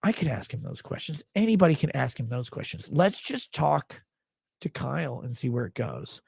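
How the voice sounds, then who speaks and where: A tired man with a gravelly voice, hosting a livestream and signing off in a rambling, blunt, profane way. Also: medium pitch, sing-song, normal speed, a little mellow, no echo, clean studio sound. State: tired, low on sleep. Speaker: livestream host. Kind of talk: casual livestream sign-off chat.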